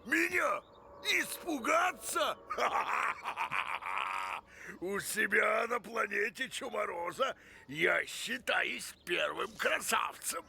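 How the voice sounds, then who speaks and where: A man voicing a huge, boisterous, excitable alien monster archaeologist in an animated film, very theatrical in delivery. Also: extremely slow, creaky, slight background noise, heavily acted. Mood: boastful. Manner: theatrical, swinging from booming exclamations to conspiratorial murmurs.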